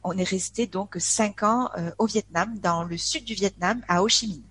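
A French woman in her early forties, speaking as a podcast guest. Her talk is loose and conversational, with frequent pauses, filler sounds and restarts. Her voice sits at an ordinary middle pitch. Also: a bit bright, husky. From France. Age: 40s. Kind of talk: casual interview chat.

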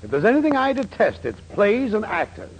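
Grumpy, swooping radio-comedy store boss. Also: male voice, high-pitched, wildly swooping pitch, fairly quick, full character voice. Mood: sour, disdainful. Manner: curt, sour, fussy. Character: grumpy, stingy middle-aged male store boss. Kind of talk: 1940s radio sitcom acting. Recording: very noisy old 1940s radio recording.

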